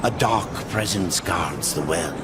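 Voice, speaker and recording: A deep, slow, ominous ancient sage. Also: male voice. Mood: foreboding. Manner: portentous, solemn. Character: ominous ancient Crowfather, solemn male keeper of secrets. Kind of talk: video game voice acting. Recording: loud rumbling background noise.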